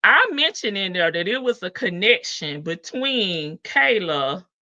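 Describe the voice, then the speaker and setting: Bright, slow-talking woman livestream host. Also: mid-range voice, very animated, lo-fi sound. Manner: chatty and playful, laughs often, greets viewers by name. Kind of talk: casual gossip commentary on a court case.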